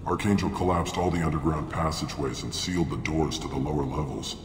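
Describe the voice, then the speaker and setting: A very deep, blunt merc recruiter. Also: male voice, fairly even intonation, brisk. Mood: briefing. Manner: blunt, matter-of-fact briefing. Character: male mercenary logistics officer, blunt, businesslike. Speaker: mercenary recruiter. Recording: echoey game mix with music.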